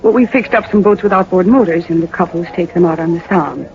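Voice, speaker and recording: A woman's voice: fairly low pitch, brisk, natural voice. An actress playing an earnest, polite young woman, an owner's daughter, in a 1940s radio drama. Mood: helpful, polite. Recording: muffled 1940s radio recording, faint noise.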